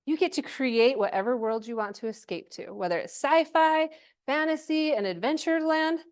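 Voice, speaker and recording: A woman's voice: high-pitched, animated, normal speed, clean tone. A woman giving an informal workshop presentation to a live group, chatty and encouraging, often checking in with questions.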